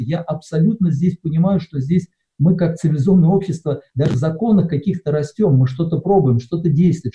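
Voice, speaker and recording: A man's voice: high voice, dark tone. A middle-aged man from Russia preaching a sermon in a conversational way, with many hesitations. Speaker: pastor. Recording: dull lo-fi sound.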